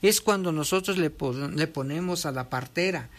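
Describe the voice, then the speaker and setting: A speaker talks in a patient, teacherly way, reading passages aloud and then explaining them. The voice sits at an ordinary middle pitch. They keep pausing to make sure the listener follows. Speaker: guest explaining traditional midwifery. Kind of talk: conversational explanation, reading from a text and commenting.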